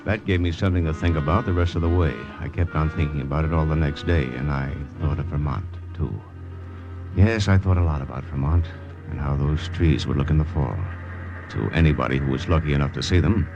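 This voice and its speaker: A very low-pitched resolute American lieutenant tells his war story in classic old-time radio style. He is measured and stoic, with an American accent, over prominent music on a vintage recording. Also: highs cut off. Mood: reflective. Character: steadfast American male OSS lieutenant, resolute and self-sacrificing. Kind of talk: 1940s-50s radio acting, first-person narration and dialogue.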